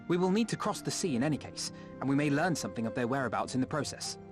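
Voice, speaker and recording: A man's voice: mid-range voice, lively intonation, fast talker, music in the background, natural voice. A man playing an earnest, resolute adventurer in a video game, speaking articulately and decisively. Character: earnest, resolute male adventurer proposing a plan. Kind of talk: video game character acting.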